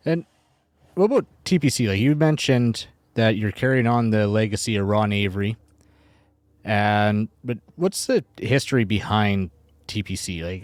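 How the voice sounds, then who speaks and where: A male podcast host with a lowish voice who asks a guest easy, friendly questions. He speaks in a casual interview manner. The recording is dry and close. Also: lively intonation, measured pace, no room sound.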